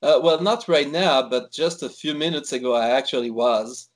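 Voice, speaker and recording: A man's voice: mid-range voice, normal speed. Chatty Swiss-born man, full of fillers. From Switzerland. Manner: chatty, many fillers and restarts. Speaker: wine expert guest. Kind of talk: conversational interview.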